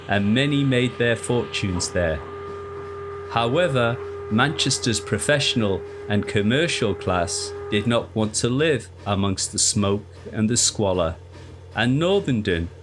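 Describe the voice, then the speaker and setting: A man reading a scripted ghost story in a measured, deliberate, formal storytelling manner. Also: lowish voice, background noise. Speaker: narrator. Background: music.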